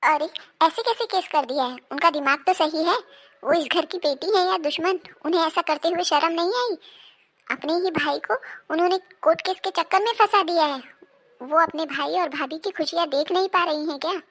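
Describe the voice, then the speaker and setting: A woman acts out a dramatic woman of a squabbling Indian household in an animated moral story. Her voice sits very high and has a gravelly creak. She speaks emphatically, as in a family melodrama. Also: normal speed. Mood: outraged. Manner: emphatic, dramatic, scolding and pleading delivery. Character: women of a quarrelling Indian family: daughter, mother, daughter-in-law.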